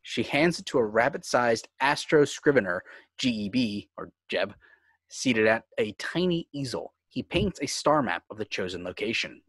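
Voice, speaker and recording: A man's voice: mid-range voice, sing-song, moderate pace, fairly bright tone, no echo, low-quality recording. A man reading scripted screenplay narration, describing scenes and action. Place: indoors on a home video call.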